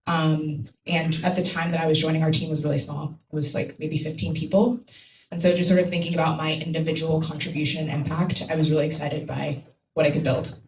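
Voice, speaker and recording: A woman's voice: low-pitched, little pitch movement, brisk. A woman explaining things conversationally as a guest on a panel, with casual asides. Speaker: panel guest, climate company employee. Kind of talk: casual spoken panel talk. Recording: echoey room, distant mic, faint noise.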